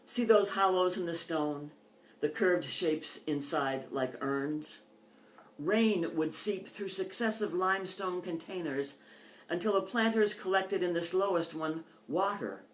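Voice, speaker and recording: A woman's voice: lowish voice, animated, a little slow. Older woman poet in an echoey room. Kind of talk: poetry reading with informal introductions. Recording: echoey, roomy sound.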